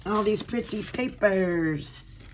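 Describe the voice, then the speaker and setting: A woman with a hoarse voice from a cold, hosting a casual unboxing video and gushing softly over pretty items. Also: mid-range voice, slow, background noise. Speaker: unboxing channel host.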